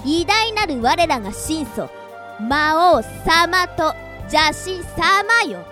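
A woman's voice: extremely high pitch, very animated, extremely slow, sharp tone, soft background music, full character voice. A woman playing a haughty young demon-race girl in an anime-style radio drama, scolding and imperious. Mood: imperious, exasperated.

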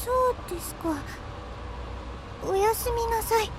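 Woman acting very high-voiced sweet schoolgirl. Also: a little slow, background noise. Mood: shy, polite. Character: polite, sweet, shy schoolgirl. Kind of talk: amateur radio drama acting.

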